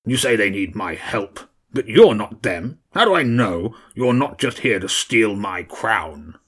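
A man doing a gruff, gravelly badger king. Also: big pitch swings, a little slow, a bit bright, heavily acted. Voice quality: deep, gravelly. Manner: menacing, suspicious.

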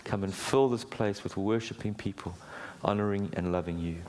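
A middle-aged man preaching a sermon in a church, with a booming voice and an earnest, conversational delivery full of small restarts. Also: normal speed, darkish tone. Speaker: guest preacher. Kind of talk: sermon with prayer. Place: church hall.

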